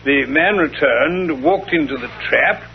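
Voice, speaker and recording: Dull vintage radio drama: middle-aged British sidekick, slightly high voice, genial, bumbling, old-fashioned. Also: male voice, animated, measured pace, vocal fry, a little hiss or hum. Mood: earnest, reading aloud.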